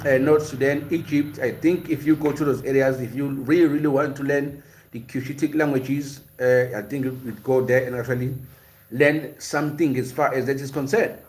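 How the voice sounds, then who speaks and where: A man's voice: mid-range voice, low-quality recording. A man hosting a live-streamed language and culture lesson, explaining in a hesitant way with frequent fillers and repeated words, and answering viewers' comments. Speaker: host of a language and culture series.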